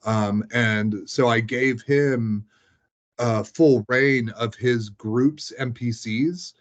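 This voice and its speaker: A man's voice: mid-range voice, extremely slow. A man chatting casually as he recounts tabletop game sessions, rambling and peppering his talk with "like". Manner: rambling, frequent "like" as filler. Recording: dull, lo-fi sound.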